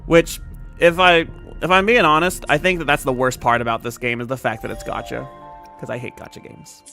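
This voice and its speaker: A man's voice: medium pitch, flat delivery, fairly quick, creaky, pushed and bright, soft background music. A young man chatting casually to his stream audience, laid-back and profane, with many fillers and restarts. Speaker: game streamer.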